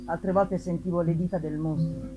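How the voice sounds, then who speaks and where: A woman voicing an anguished, guilt-ridden gentleman in a dramatic, halting reading of a gothic novel. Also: on the low side, steady tone, lo-fi sound, over music, natural voice. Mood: anguished, despairing. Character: anguished, guilt-ridden young gentleman recalling his torment.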